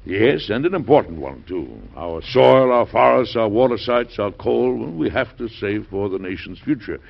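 An actor playing a genial, high-minded American president in an old-time radio drama, with a measured, oratorical delivery and an American accent. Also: male voice, animated, creaky. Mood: earnest. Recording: dull, band-limited old radio recording.

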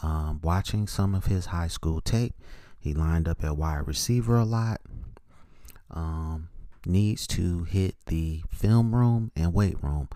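A man with a very low, dark voice hosts a football opinion show. He sounds plainspoken and opinionated, moving between clipped notes and his own commentary. The sound is dry, with little room in it. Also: slow pace, dry recording. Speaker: host of a sports opinion show. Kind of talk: solo football scouting commentary, part read from notes.

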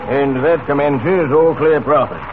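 A man's voice: medium pitch, moderate pace, lightly acted. An actor playing a businesslike, matter-of-fact ranch foreman in 1940s radio style. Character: plain-spoken, businesslike male ranch foreman. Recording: noisy, tinny old radio recording.

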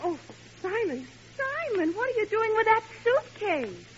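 An actress playing an exasperated, excitable woman of the household in a 1940s radio comedy. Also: extremely high pitch, unhurried, full character voice. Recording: dull, faintly noisy old radio recording.

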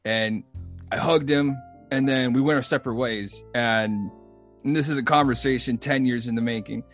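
A man's voice: mid-range voice, normal speed, soft background music. Chatty man hosting a casual interview. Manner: chatty, rambling, laughs easily. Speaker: podcast host.

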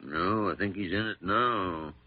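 An actor playing a gruff, blunt older American senior doctor in a classic radio drama. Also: male voice, deep voice, measured pace, narrow, tinny audio. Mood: somber. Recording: thin, band-limited old radio recording.

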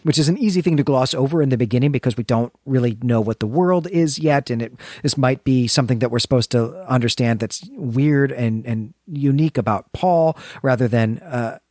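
A man co-hosting a discussion show, talking through ideas in a conversational, thoughtful and halting way as he explains. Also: medium pitch, a little mellow, dry recording, clean studio sound. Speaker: podcast co-host discussing fiction.

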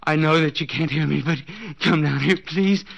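An actor playing a desperate, guilt-ridden husband in a 1940s radio suspense drama, stammering and repeating himself breathlessly. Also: male voice, on the high side, moderate pace, creaky, fairly bright tone. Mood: frantic pleading. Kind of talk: 1940s radio suspense acting, interior monologue. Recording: noisy, dull 1940s radio recording.

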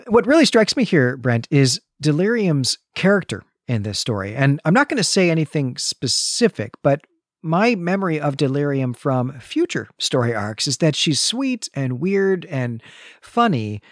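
A man's voice: on the high side, sing-song, normal speed, no echo, studio quality. A man co-hosting a podcast, recapping a comic story in an articulate, explanatory way. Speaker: podcast co-host.

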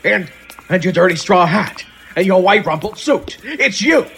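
A man's voice: high voice, lively intonation, unhurried, creaky, fairly bright tone, husky, faint music behind, heavily acted. A man playing an exasperated middle-aged newspaper editor with an ulcer, barking orders in an old-time radio drama.

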